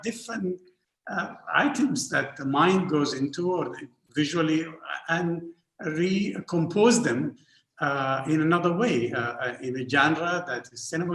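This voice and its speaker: A man from Northern Sudan, an art historian speaking on an academic panel, halting often with repeated fillers and restarts. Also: fairly high pitch, a bit bright. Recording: roomy echo.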